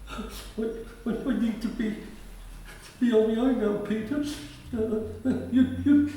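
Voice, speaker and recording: A man playing a frail, halting countryman who stammers gently in a radio play. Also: very high voice, a little hiss or hum. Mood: halting, tender. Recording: echoey room, distant from mic.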